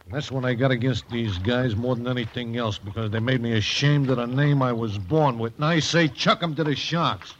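Actor as a plain-spoken sailor on muffled radio. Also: male voice, mid-range voice, a little fast, hardly any pauses, fairly bright tone, close to an everyday voice. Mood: resentful. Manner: folksy, colloquial. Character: plain-spoken, good-natured German-American sailor. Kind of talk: 1940s radio drama acting. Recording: muffled, noisy old radio recording.

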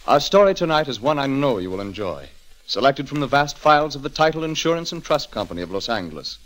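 A man's voice: mid-range voice, sing-song, fast talker, fairly bright tone, low-quality recording. An American man narrating a 1940s radio drama as its host, in a dramatic, declamatory scripted style. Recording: old 1940s radio broadcast.

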